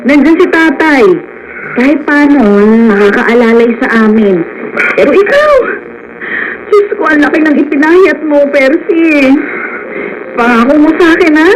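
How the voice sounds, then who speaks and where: A woman's voice: sits high, a little slow, long pauses, crowd noise. A woman playing a worried, loving middle-aged wife and mother in a Filipino radio drama, tender and pleading. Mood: tearful pleading. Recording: muffled radio broadcast, voices behind.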